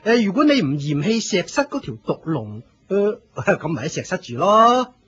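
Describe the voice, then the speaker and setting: An actor playing a proud, jealous fire-sect leader in a Cantonese radio drama, with a pompous, theatrical manner and a Hong Kong accent. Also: male voice, sits high, sing-song, normal speed, a bit bright, breathy edge, full character voice. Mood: courteous. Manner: pompous, theatrical, shifting from courteous to scornful. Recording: dull lo-fi radio drama recording.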